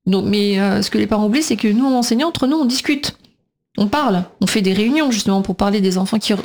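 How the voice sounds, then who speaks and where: A woman's voice: medium pitch, darkish tone. Talkative woman in a radio discussion. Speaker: radio guest, preschool teacher and mother.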